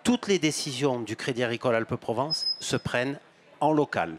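A man in his fifties, a bank executive, giving measured explanatory answers in an interview at a fair stand, with some hesitations and repeated words. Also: medium pitch, a bit bright. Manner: gestures broadly while talking. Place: outdoor stand at an agricultural fair, on a sofa. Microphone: handheld microphone.

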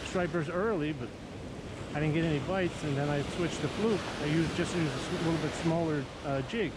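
A man's voice: fairly high pitch, brisk. A man chatting casually while fishing, recorded outdoors on a beach with a body-worn camera. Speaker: angler filming a fishing vlog. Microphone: body-worn action camera. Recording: loud wind and surf noise. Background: waves and wind.

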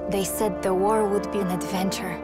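A woman's voice: medium pitch, little pitch movement, normal speed, lightly acted. A woman playing a spirited, stubborn young sharpshooter in a video game, earnest and determined. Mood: somber, grieving. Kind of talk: cinematic video game acting. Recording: music under the voice.

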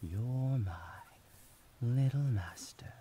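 Man doing doting devoted servant, very slow, mellow whispers, ASMR roleplay. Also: medium pitch, slight background noise. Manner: soft whispering, affectionate and soothing. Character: doting young male servant, devoted and tender.

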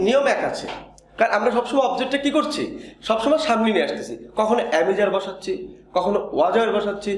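A man in his thirties with a Bangladeshi accent lecturing as a grammar teacher, emphatic and didactic, often answering his own questions. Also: high-pitched. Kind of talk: classroom-style video lecture. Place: indoor room in front of a whiteboard. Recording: very echoey, reverberant room.

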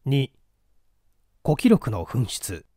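A man's voice: mid-range voice, huge pitch swings, drawn-out words, dark tone, no echo, studio quality. A man reading a classic mystery novel as an audiobook narrator, in formal, dramatic storytelling style.

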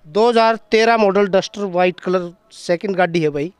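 A man's voice: sits high, normal speed, clear, resonant tone. A man, hosting a casual walkaround of a used car lot outdoors, chatting and talking up prices into a handheld mic. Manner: chatty, hyping prices, asks dealer questions. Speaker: host of a used car channel. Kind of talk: casual walkaround sales video.

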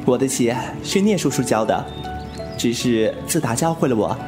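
An actor playing a frank, inquisitive, cheeky young swordsman in a wuxia audio drama, with an expressive, exclamatory delivery. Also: mid-range voice, normal speed, lightly acted. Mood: curious, playful. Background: music.

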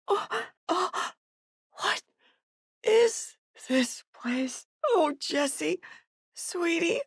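A woman's voice: very high voice, vocal fry, no room sound, clean studio sound, exaggerated voice. A woman playing a frightened, desperate mother in a survival horror video game, with pleading, gasping delivery. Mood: desperate, grieving. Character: desperate, frightened mother searching for her missing son.

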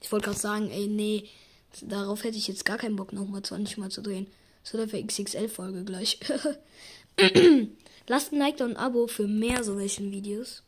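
A hoarse young woman giving casual gaming commentary, excitable and yelping at results, slipping into a raspy near-whisper when she talks quietly. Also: medium pitch, normal speed. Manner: excitable, shrieks and groans at spin results. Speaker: gaming YouTuber.

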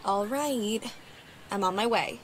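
A woman's voice: medium pitch, slow pace, a little hiss or hum, close to an everyday voice. A woman voicing a spirited teenage girl horse rider in a video game, youthful and confident. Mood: concerned.

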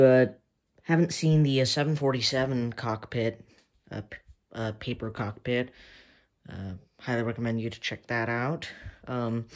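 A man hosts a casual show-and-tell vlog, speaking slowly in a hesitant, soft-spoken way. His pitch sits in an ordinary middle range. He is recorded indoors at home on a handheld phone camera. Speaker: hobby channel host.

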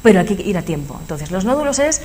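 A woman's voice: mid-range voice, big pitch swings, fast, darkish tone, a little hiss or hum. A woman with a Spanish accent, a speech therapist guest in a TV studio interview, explaining animatedly with many fillers. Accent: Spanish from Spain. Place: local TV studio.

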